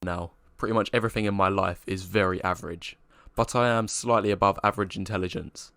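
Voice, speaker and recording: Young man, low voice, earnest matter-of-fact monologue, dry sound. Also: fairly even intonation, fairly quick, creaky, sharp tone, dry recording. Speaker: vlogger describing himself. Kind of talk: scripted self-description monologue.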